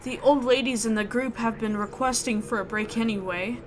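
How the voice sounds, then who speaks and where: A woman's voice: a little high, moderate pace, a little hiss or hum. A woman reading a horror visual novel aloud expressively, with casual asides of her own. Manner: expressive, acts out the narrator's thoughts. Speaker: host of a game playthrough, reading aloud. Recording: background music under the voice. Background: music.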